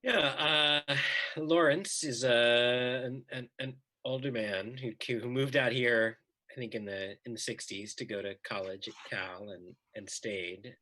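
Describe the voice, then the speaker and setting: A man's voice: medium pitch, steady tone, a little slow, sharp tone. A man on a panel describing his work casually, stammering, repeating words and trailing off. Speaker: playwright on a panel. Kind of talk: casual, explanatory discussion.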